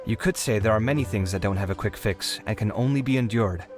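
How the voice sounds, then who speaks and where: A man's voice: lowish voice, quick pace, over music, close to an everyday voice. A man voicing a kindly, frank young architect in a video game, in a conversational way. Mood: frank, encouraging.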